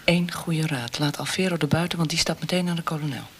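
A cool, dismissive conspirator, noisy recording. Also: female voice, low-pitched, a little fast. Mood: cool, teasing. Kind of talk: radio drama dialogue.

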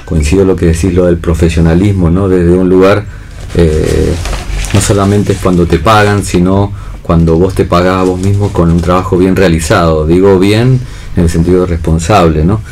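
A man's voice: sits low, steady tone, normal speed, a little mellow. An Argentine man speaking as a theatre director in a casual radio discussion, reflective and often checking in with short tag questions. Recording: noisy radio studio recording.

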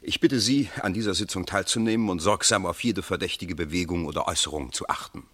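Worried stiff government minister, really deep voice, old 50s german radio play, grave and formal. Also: male voice, a bit bright. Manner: formal, measured, urgent.

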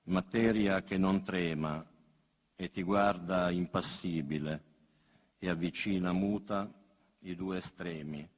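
A man reciting poetry in a solemn, grave, measured and intimate way. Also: deep voice, fairly even intonation. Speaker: poet reading own work. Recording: long hall reverb.

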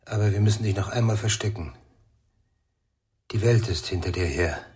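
An actor playing a grave, secretive man protecting a fugitive, terse and breathy, in a radio drama. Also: on the low side, moderate pace, a little mellow. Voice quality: very husky, breathy. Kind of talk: German radio drama acting.

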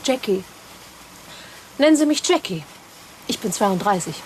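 A woman playing a confident secret agent of thirty-two in a radio play, brisk and self-assured. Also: medium pitch, big pitch swings. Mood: cool, challenging. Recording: radio play with noisy background.

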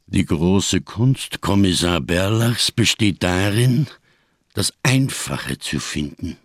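An actor voicing a grave, scarred giant of a fugitive in a radio crime drama, speaking with ponderous, over-careful diction and a gravelly, husky voice. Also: male voice, low-pitched, animated, slow pace, dry recording, clean studio sound, exaggerated voice. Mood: solemn, wry.

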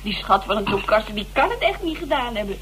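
Gossipy flirty excitable gal in a radio play, high pitched and super animated. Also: female voice, fairly quick. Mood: chatty, excitable. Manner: gossipy, playful. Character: chatty, flirty, excitable woman full of opinions. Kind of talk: radio drama acting. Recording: noisy, humming old radio play tape.

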